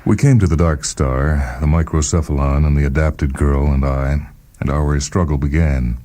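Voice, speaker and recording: A man hosting and reading short fiction in a measured, deliberate, dramatic narration style. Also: a bass voice, fairly even intonation, warm tone, a little hiss or hum. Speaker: host and reader of a short story programme. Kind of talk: dramatic scripted story narration. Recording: music playing behind the voice. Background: music.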